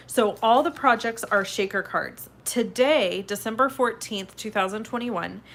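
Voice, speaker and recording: A woman's voice: mid-range voice, fairly bright tone. Chatty craft lady all stuffed up from a cold, sniffly, kinda winded. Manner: sniffly, clears throat now and then; chatty and upbeat. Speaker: card-making host. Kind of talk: casual live tutorial chat.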